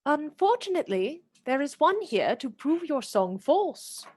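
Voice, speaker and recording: A woman's voice: high-pitched, big pitch swings, a little slow, a little mellow, no room sound, studio quality. A woman playing a proud, witty noblewoman who mocks an outlaw with arch, theatrical scorn in a radio play. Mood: mocking. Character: proud witty noblewoman Marian, mocking and spirited.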